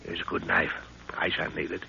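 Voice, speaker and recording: An actor playing a middle-aged, seasoned jungle adventurer, fatherly and commanding, with measured instructions in a 1930s radio drama. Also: low voice, little pitch movement, gravelly, sharp tone, exaggerated voice. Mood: hushed command. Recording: noisy dull 1930s radio recording.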